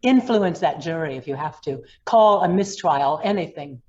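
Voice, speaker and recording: A woman's voice: low-pitched, animated, moderate pace, a little mellow, highs cut off. A woman playing a ruthless, commanding lawyer in an old-time radio drama, hard and threatening in manner. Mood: coolly menacing. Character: ruthless, commanding female lawyer blackmailing a judge.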